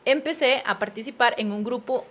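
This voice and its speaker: Costa Rican woman in her thirties, slightly high voice, earnest guest storyteller. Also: moderate pace. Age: 30s. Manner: earnest, animated, addresses listener as usted. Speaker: podcast guest. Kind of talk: personal storytelling in conversation.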